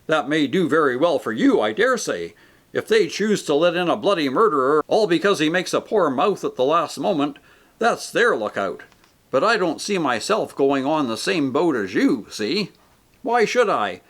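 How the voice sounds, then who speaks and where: A man's voice: fairly high pitch, sing-song, moderate pace, a bit bright. A man voicing a coarse, self-righteous male ghost who talks in blunt, indignant working-man speech.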